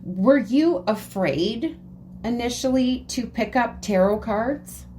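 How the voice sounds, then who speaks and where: A woman hosting a podcast, talking in a relaxed, agreeable way in casual conversation. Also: on the high side, slow pace, slight background noise. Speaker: podcast host.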